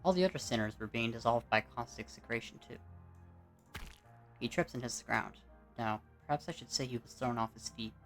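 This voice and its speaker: An amateur narrator reads a dramatic game story at home. The voice sits at a medium pitch and follows a script in storytelling fashion, with music behind it. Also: fairly quick, a bit bright. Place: at home, recording indoors.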